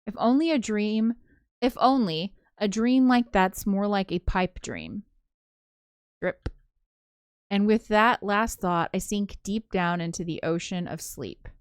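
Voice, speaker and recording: A woman reading a visual novel aloud as a let's play narrator, in an expressive first-person storytelling manner. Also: mid-range voice, takes it slowly, smooth, no echo, studio quality. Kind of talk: live read-aloud of a story game, with casual asides. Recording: music behind the voice. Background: game soundtrack music.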